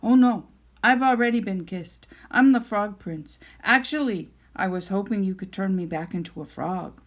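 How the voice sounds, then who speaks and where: A woman's voice: mid-range voice, moderate pace, lightly acted. A woman voicing a young fairytale prince who is earnest, polite and insistent, and easily flustered. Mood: polite, pleading.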